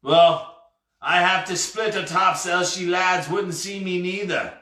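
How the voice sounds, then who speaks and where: A man acts out a ghostly pirate captain for a storybook, burly, sly and full of bluster. He drags out his archaic seafaring phrases very deliberately, with a bright, forceful edge. The sound is roomy and set back from the mic. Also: sits high, steady tone, pitched above the performer's own voice. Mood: exasperated. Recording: roomy, echoey, distant mic.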